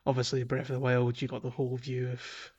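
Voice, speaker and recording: A man's voice: mid-range voice, completely flat delivery, brisk, darkish tone, breathy edge, dull audio. A Scottish man chatting informally and enthusiastically as a podcast host. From Scotland. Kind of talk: casual podcast chat.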